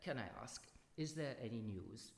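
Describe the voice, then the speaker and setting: Actor playing a brisk, lively, proper older lady. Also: medium pitch, creaky. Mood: anxious. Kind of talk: live radio drama acting. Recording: echoey hall, faint noise, live stage.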